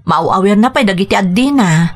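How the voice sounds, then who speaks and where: A woman's voice: mid-range voice, animated, normal speed, natural voice. A woman playing a patient, pleading wife and mother in an Ilocano radio drama. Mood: earnest, pleading.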